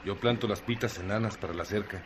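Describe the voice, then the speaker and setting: A man's voice: fairly low pitch, fast talker, a little hiss or hum, lightly acted. A man playing a bossy countryman in a radio drama, brusque and fault-finding as he gives orders. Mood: bossy, critical. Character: bossy, gruff countryman giving orders in a yard.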